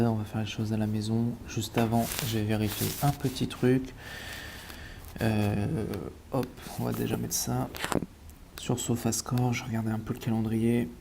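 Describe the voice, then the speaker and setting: Guy with a cold, low flat mumbly voice, thinking out loud while eating, bit of background hiss. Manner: mutters and repeats words while checking stats. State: has a cold. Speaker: sports betting analyst. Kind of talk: casual thinking-aloud match analysis.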